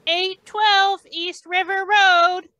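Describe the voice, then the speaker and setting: Woman acting a very high, slow-speaking dispatcher, noisy recording. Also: level tone, pushed and bright, dull audio, full character voice. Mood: flat announcing. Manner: slow, deliberate announcing. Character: female hospital dispatcher calling out addresses. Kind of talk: old-time radio drama announcement.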